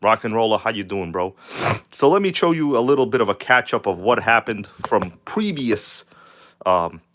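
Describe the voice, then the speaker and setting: Hoarse, hyped man streaming live. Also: fairly low pitch, lively intonation, moderate pace, fairly bright tone. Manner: hyped, casual, greets viewers by name. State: hoarse from a cold, nearly lost voice. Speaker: channel host. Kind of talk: live stream chat about RC cars.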